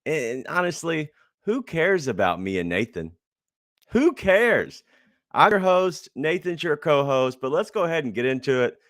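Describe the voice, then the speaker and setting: A man hosting a casual sports podcast, upbeat and quick to hype things up. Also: a little high, very animated, fairly bright tone, no echo, studio quality. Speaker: podcast host.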